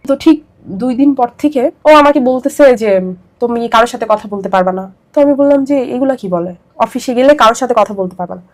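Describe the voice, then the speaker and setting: A young woman with a Bangladeshi accent shares a personal account in an interview. Her voice sits fairly high and she talks quickly, repeating herself for emphasis as the story pours out. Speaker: interview guest.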